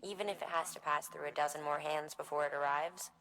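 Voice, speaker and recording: Helpful game terminal operator, deep female voice, reassuring, explanatory, faint background noise. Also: fairly even intonation, quick pace, fairly bright tone, poor audio. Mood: encouraging. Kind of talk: scripted video game briefing. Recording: faint noise and ambience behind.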